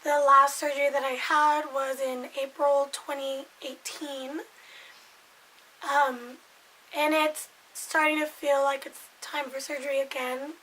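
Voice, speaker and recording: Woman, 20s, hoarse raspy voice pitched high, loose rambling vlog delivery. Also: level tone, a little slow, sharp tone, rough recording. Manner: rambling, loses her thread and repeats herself. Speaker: vlogger. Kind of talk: casual chat to camera.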